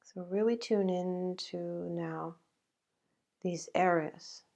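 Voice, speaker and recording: A woman leading a guided meditation in a gentle, halting way, with long pauses between phrases. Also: on the low side, fairly even intonation, vocal fry.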